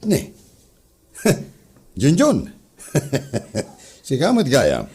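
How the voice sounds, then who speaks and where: A bossy, jovial male office superior with an Ilocano accent, played by a man. His pitch swings widely as he orders staff about in comedy radio play style. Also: huge pitch swings, drawn-out words, darkish tone, a little hiss or hum, full character voice. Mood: amused, casual. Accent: Filipino, Ilocano. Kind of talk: Ilocano comedy drama podcast acting.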